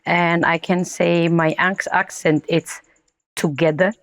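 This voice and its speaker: Romanian woman telling her story haltingly. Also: on the low side, flat delivery. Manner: halting non-native English, many restarts. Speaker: interview guest. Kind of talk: personal testimony interview.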